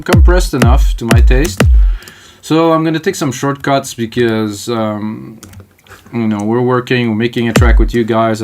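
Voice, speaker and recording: A middle-aged French Canadian man talks loosely over his session, his voice mid-pitched and easygoing as he thinks out loud. Loud techno beats pound behind him, with the tap of a computer keyboard now and then. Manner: rambling, thinks aloud, casual with frequent fillers. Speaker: music producer. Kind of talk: live music production tutorial. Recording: loud electronic music playing behind. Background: loud techno music and keyboard typing.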